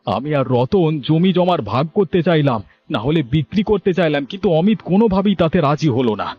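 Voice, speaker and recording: A man's voice: on the high side, expressive, a little fast, husky. A man voicing a scheming, stern elder brother from a farming village in a cartoon, with an argumentative, reproving manner. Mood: annoyed. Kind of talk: Bengali cartoon story dubbing. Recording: dull lo-fi audio, music bed.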